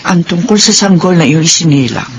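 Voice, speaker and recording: A woman's voice: on the low side, animated, brisk, a little hiss or hum, natural voice. An actress playing a stern but caring middle-aged Filipino mother and wealthy benefactress in a radio drama. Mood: calm, earnest.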